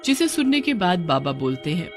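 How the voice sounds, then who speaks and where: Animated woman narrator with music underneath. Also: lowish voice, moderate pace. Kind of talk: scripted story narration.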